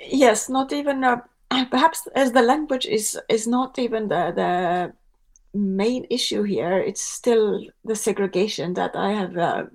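A woman's voice: mid-range voice, measured pace, a little mellow. A woman from Estonia who analyses politics in a podcast discussion, earnest and firm.

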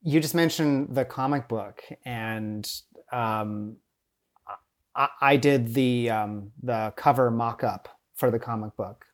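A man being interviewed on a podcast, speaking in a relaxed way with frequent stuttered repetitions and fillers. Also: medium pitch, takes it slowly. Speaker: podcast guest, teacher. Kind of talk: casual interview.

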